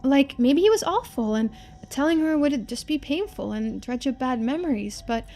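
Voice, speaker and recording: A woman's voice: sits high, expressive, moderate pace, warm tone, pitched above the performer's own voice. Woman playing a chatty, earnest young woman. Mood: worried.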